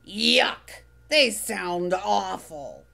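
A woman's voice: mid-range voice, animated, extremely slow, vocal fry, sharp tone, full character voice. A woman voicing a grumpy male monster who lives in a trash can, grumbling sourly in a storybook reading. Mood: grouchy. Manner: grumbling, sour.

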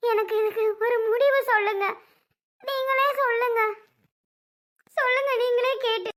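A woman performs a distraught young woman in an amateur family drama. Her voice is extremely high, almost childlike. She pleads insistently and sounds tearful. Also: fairly even intonation, moderate pace, fairly bright tone. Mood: tearful pleading.

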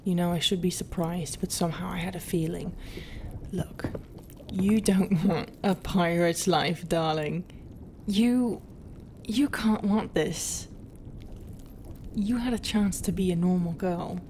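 A woman playing a proud, brooding, non-human pirate captain in a roleplay, guarded and often dropping into a half-whisper with hesitant pauses. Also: fairly low pitch, darkish tone, a little hiss or hum. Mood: exasperated. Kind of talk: roleplay ASMR acting.

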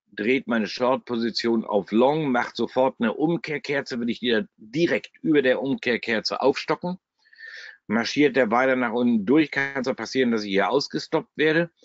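A man's voice: mid-range voice, normal speed, no echo. Blunt, chatty man with a German dialect. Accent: German regional dialect. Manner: blunt, chatty, salty humor. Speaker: trading webinar host. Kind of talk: live trading webinar. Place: at a computer, screen shared. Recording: dry, slightly dull webinar audio.

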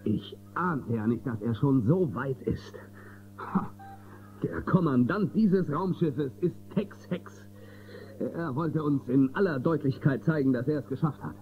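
An actor playing a resolute, authoritative marshal hero in a space-western audio drama. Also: male voice, medium pitch, animated, a little slow, faint music behind, lightly acted. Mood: serious, urgent. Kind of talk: German audio drama acting. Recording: tinny, phone-like sound with noise.

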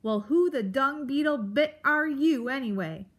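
Woman acting a shrill, snarling, grumpy storybook beast. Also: sing-song, takes it slowly, pushed and bright, heavily acted. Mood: demanding. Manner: snarling, snapping, brash and insulting. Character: grumpy old male porcupine-like beast, blustering, crotchety. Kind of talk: children's storybook character voice.

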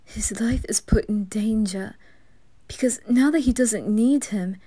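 A woman voicing a dutiful, apologetic demon maid in an anime fan roleplay, gentle and breathy. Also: on the high side, unhurried. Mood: solemn. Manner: breathy, gentle, near whisper.

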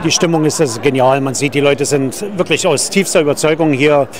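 A demonstrator enthusiastically describing the mood in an outdoor street interview. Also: male voice, fairly high pitch, fairly quick, crowd noise. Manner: enthusiastic, fluent. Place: outdoors at a city protest.

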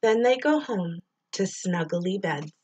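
A middle-aged woman doing an expressive read-aloud of a rhyming children's story, drawing out words and sound effects like a teacher reading to pupils. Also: lowish voice, slow, a little hiss or hum.

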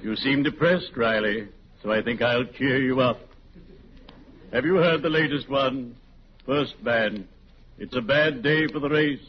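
An actor playing a courtly, morbidly cheerful undertaker in a solemn, genteel manner for a 1940s radio comedy. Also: male voice, medium pitch, natural voice. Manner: solemn, genteel, drawn-out delivery. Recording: muffled, noisy 1940s radio, audience behind.

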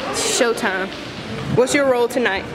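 A woman's voice: on the high side, normal speed. A young woman vlogging casually behind the scenes, chatty and informal, talking to the camera and to people around her. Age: young adult. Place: busy school auditorium and its back rooms. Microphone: handheld camera mic. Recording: noisy handheld camera amid crowd chatter. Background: crowd chatter and room noise.

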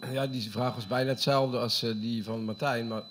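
A man's voice: mid-range voice, fairly even intonation, normal speed, fairly bright tone, a little hiss or hum. A male journalist asking a coach a question at a press conference in an informal way.

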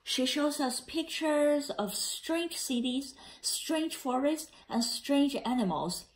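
A woman reading a children's picture book aloud in a careful, slightly halting way. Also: high voice, expressive, rough recording. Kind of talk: read-aloud storytelling.

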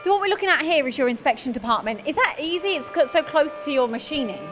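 A woman's voice: high-pitched, lively intonation, fast, music in the background. A woman in her thirties interviewing a workshop owner on a factory floor with a handheld mic, upbeat and asking leading questions. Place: machine workshop indoors.

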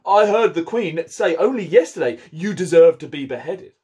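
A man's voice: fairly high pitch, lively intonation, normal speed, a little mellow, slightly rough, pitched above the performer's own voice. A man putting on a sulky bickering card-gardener. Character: sulky, quarrelsome male playing-card gardener.

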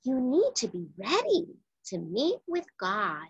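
A woman reading a children's Bible story aloud, with deliberate, emphatic phrasing for young listeners. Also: a little high, wildly swooping pitch, husky, no echo, muffled recording.

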